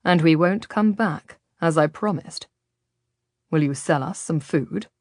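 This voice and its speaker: A woman's voice: deep voice, measured pace, no room sound, clean studio sound. A woman voicing a confident, teasing Ottoman galley commander, a man, in an audiobook story. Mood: businesslike. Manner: abrupt, teasing, tempting.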